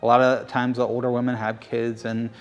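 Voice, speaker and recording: A man's voice: mid-range voice, completely flat delivery, brisk, smooth. A middle-aged man speaking wryly and self-deprecatingly in an interview.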